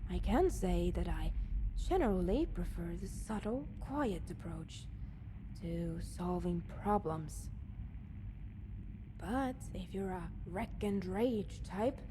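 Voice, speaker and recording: A woman's voice: mid-range voice, slow pace, background noise, natural voice. A woman playing a guarded, self-reliant wasteland scavenger in a video game, speaking in a dry, matter-of-fact way. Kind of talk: video game character dialogue.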